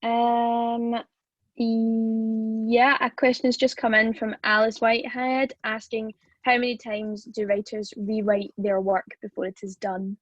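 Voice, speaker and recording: A woman's voice: on the high side, monotone, takes it slowly, no room sound, highs cut off. A woman helping at an online event, reading out an audience question. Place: online video call.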